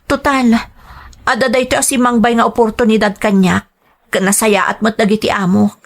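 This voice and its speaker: A woman's voice: a little high, little pitch movement, normal speed, creaky. A woman playing an earnest, respectful migrant-worker mother in an audio drama. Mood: earnest, pleading. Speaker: voice actor. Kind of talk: Ilocano audio drama acting.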